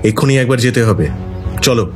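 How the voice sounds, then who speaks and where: A man voicing a decisive detective who speaks quickly and firmly. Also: medium pitch, soft background music, lightly acted. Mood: urgent. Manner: quick and commanding. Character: brisk, decisive male detective.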